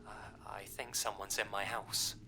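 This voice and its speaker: A man voicing a frightened little girl in a horror story, hesitant and hushed, almost whispering. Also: lowish voice, level tone, measured pace, vocal fry. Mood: terrified, hushed. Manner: hesitant, fearful. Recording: music behind the voice.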